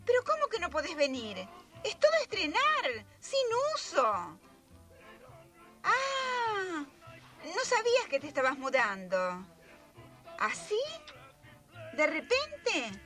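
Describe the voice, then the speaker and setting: High, bright, chatty Argentine busybody. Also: female voice, huge pitch swings, slow pace, long pauses, soft background music, heavily acted. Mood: gushing, disappointed. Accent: Argentine, Rioplatense. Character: chatty, busybody neighbourhood dressmaker mother. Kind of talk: radio drama acting.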